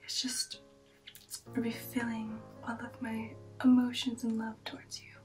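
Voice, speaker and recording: Girl doing a elegant lady vampire asmr, breathy whisper, really slow and intimate, music behind. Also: a little high, monotone, recorded from a distance, natural voice. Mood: sentimental, tender. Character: refined, affectionate female vampire recounting an old shipboard romance. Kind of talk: ASMR roleplay, personal attention.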